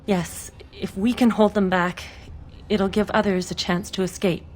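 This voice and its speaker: An actress playing a brave but frightened townswoman in a video game, urgent and halting. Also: medium pitch, little pitch movement, darkish tone, lightly acted. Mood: urgent, anxious.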